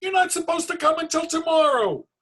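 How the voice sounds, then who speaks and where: A man voicing a wily, pleading trickster in a storybook, in an animated manner. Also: very high voice, fairly quick, voice pushed up. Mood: shrieking. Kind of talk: storybook read-aloud character.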